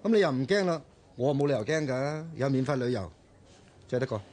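A man's voice: mid-range voice, brisk, lightly acted. An actor playing an exasperated, bickering but easygoing young man in a radio drama, with a Hong Kong Cantonese accent. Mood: reluctant. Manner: bickering, animated. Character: exasperated, grumbling, easygoing young male buddy.

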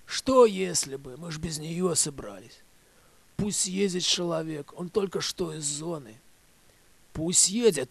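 A man's voice: fairly high pitch, vocal fry, dry recording, exaggerated voice. A man voicing a scornful woman in her thirties who nags her man with blunt, scolding questions in an audio play.

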